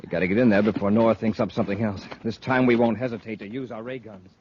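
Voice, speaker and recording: A man's voice: fairly low pitch, steady tone, fast talker, lightly acted. An actor playing a brave, decisive male space patrol commander in 1950s radio drama style, authoritative, earnest and urgent. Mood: steady, determined. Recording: muffled old radio recording, faint noise.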